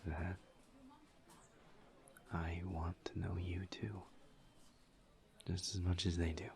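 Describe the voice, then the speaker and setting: A man playing a gentle, reserved incubus in an intimate audio roleplay, speaking softly and sincerely in a breathy near-whisper. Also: flat delivery, exaggerated voice. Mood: sincere, vulnerable. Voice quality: breathy, soft, near-whispered, gravelly low voice. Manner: slow, halting, tender and sincere. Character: gentle, earnest, reserved male incubus demon friend. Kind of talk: intimate audio roleplay, one side of a conversation.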